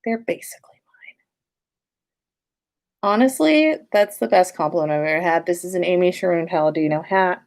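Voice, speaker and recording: A woman hosting a story livestream in an informal, playful and expressive way. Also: on the low side, normal speed, pauses often. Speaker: podcast host and story reader. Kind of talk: livestream story reading and casual chat.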